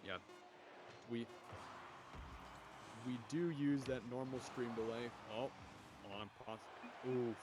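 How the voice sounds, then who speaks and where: A young man with a deep voice streaming esports commentary from home, chatting with viewers and calling plays. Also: fairly even intonation, slow pace, pauses often, music in the background. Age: young adult. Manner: rambling, chatty, restarts often, bursts louder on goals. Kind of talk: casual solo game commentary and chat reading. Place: home room.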